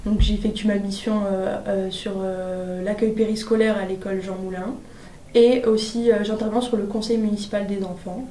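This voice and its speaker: An eighteen-year-old woman, a civic service volunteer, giving a hesitant personal testimonial with many pauses. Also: medium pitch, fairly even intonation, mellow. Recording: roomy distant recording, faint noise.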